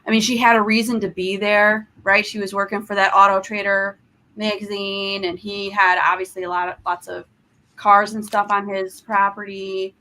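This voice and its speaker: A woman's voice: medium pitch, level tone, moderate pace, bright, forceful tone, rough recording. A woman chatting as a guest on a video call, talkative and thinking aloud with fillers. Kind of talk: casual chat.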